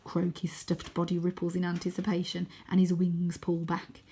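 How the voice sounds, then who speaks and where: A woman narrating a children's adventure story expressively, with a dramatic, sometimes hushed delivery. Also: lowish voice, completely flat delivery, moderate pace, slightly rough. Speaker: storybook reader.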